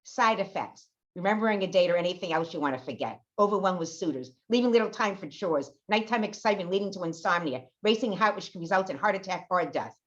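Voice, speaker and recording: A woman's voice: on the low side, animated, quick pace, few pauses, natural voice. A woman playing an enthusiastic older customer in a scripted parody radio commercial. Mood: promotional. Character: enthusiastic older woman giving testimonial for memory pill. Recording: dull lo-fi audio.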